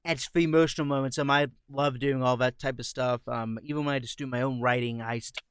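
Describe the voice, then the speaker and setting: A young man, a voice actor, chatting casually on an online voice call in a jokey, halting way. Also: mid-range voice, fairly even intonation, smooth, dry recording, studio quality. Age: young adult. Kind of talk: casual chat.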